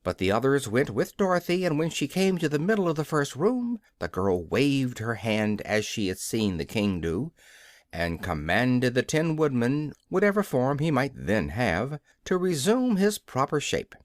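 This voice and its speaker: A man reading a children's story aloud as an expressive audiobook narrator. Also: mid-range voice, normal speed, dry recording, studio quality.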